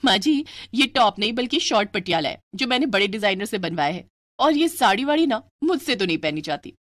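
A confident young daughter-in-law from an animated family story, as a woman acts her out. She is modern and sweet, with plenty of self-assurance. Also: medium pitch, brisk, no room sound, clean studio sound, lightly acted. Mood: self-assured. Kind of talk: animated moral story dialogue.

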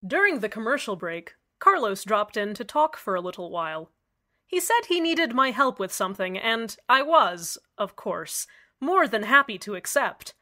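A woman playing a cheerful radio host with an eerily calm, sweet manner. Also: fairly high pitch, normal speed. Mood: smitten. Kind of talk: scripted radio drama narration.

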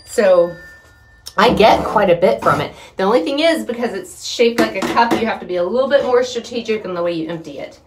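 A woman's voice: medium pitch, expressive, a little fast. Chatty middle-aged woman, roomy noisy recording. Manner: folksy, chatty, rambling while working. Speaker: homestead vlogger and host. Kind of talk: casual chat to viewers during chores. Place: small wooden chicken coop. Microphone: phone set up a few feet away. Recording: roomy, distant mic with clear background noise.

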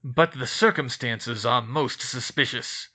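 A man's voice: medium pitch, a little slow, bright, forceful tone. A man voicing a stern, suspicious male professor who sneers.

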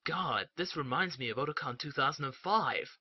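A man voices a sullen young ninja for a cartoon parody. His slightly high voice is sarcastic and deadpan, captured close and dry with a lo-fi dullness. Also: moderate pace, breathy edge, no echo. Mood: sarcastic, unimpressed. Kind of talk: comedic anime parody dub. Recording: dull, dry lo-fi home dub.

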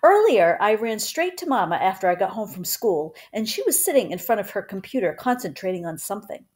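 A woman's voice: mid-range voice, big pitch swings, a little fast, darkish tone. A woman reads a children's book aloud in a conversational way, as a teacher would. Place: small room at home.

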